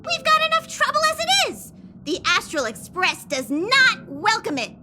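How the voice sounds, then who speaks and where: A woman's voice: very high voice, big pitch swings, moderate pace, heavy background noise. A woman voicing a small, bossy, fretful train conductor creature in a video game, with a scolding manner. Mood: indignant.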